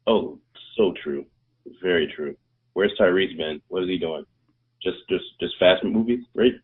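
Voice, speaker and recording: A man's voice: low-pitched, measured pace. A man co-hosting a casual movie podcast in a laid-back, wry way. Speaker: podcast co-host. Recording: tinny phone-line audio.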